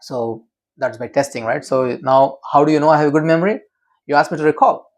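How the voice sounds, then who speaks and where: A man's voice: fairly high pitch, fairly quick. Emphatic teacherly man explaining. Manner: emphatic, teacherly, asks rhetorical questions. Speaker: memory expert guest. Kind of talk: explanatory interview talk.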